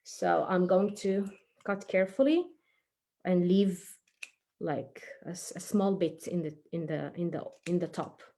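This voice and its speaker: A woman's voice: fairly low pitch, measured pace, mellow. A woman presenting a craft for young children, talking casually and encouragingly as she thinks aloud through each step. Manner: chatty, encouraging, thinking aloud while working with hands. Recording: faint background noise, small clicks.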